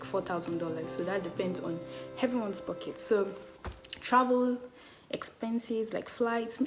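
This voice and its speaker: A young woman with a Nigerian accent, talking casually to the camera with a loud voice and many "like" fillers. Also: medium pitch. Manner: chatty, full of "like" fillers, laughs often. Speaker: travel vlogger. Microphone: camera mic, no external mic. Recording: background music.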